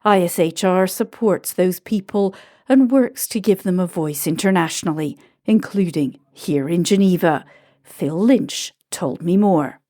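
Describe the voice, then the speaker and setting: A woman hosting a podcast, narrating in a measured scripted way and asking guests questions. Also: lowish voice, mellow. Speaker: podcast host.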